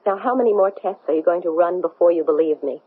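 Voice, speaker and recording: A woman's voice: on the low side, fast, slightly rough. A woman playing a desperate, insistent astronaut possessed by an alien, urgent and pleading, in a 1970s radio drama. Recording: muffled, narrow-band old radio.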